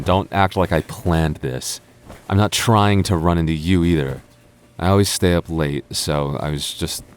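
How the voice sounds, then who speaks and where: Male actor as sarcastic childhood friend, young adult, low-pitched voice, stubborn teasing, natural boyfriend-roleplay delivery. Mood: wry, defensive. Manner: teasing, sarcastic, stammers when flustered.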